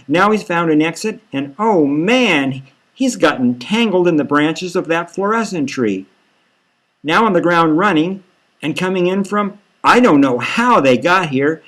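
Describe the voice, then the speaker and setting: Excitable news-machine reporter played by a male reader, raised pitch, urgent live commentary for an audio novel.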